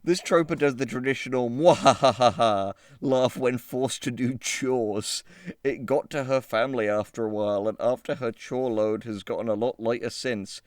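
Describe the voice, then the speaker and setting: A man's voice: medium pitch, normal speed, no room sound, clean studio sound. A man hosting a casual comedic chat, swearing and joking as he reads entries aloud and comments on them. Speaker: show host reading out web entries.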